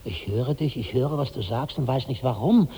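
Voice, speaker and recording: An actor in a 1960s German radio drama playing a troubled, puzzled companion and witness, husky and creaky, with theatrical searching delivery. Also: male voice, mid-range voice, moderate pace, dark tone. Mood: doubtful. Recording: dull old radio recording, long reverb.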